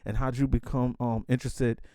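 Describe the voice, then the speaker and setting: A man's voice: mid-range voice, level tone, fairly quick, warm tone, no room sound, clean studio sound. A man hosting a podcast, giving a hyped introduction and then asking questions with many fillers and restarts. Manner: emphatic hype intro. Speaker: podcast host. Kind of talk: casual interview chat.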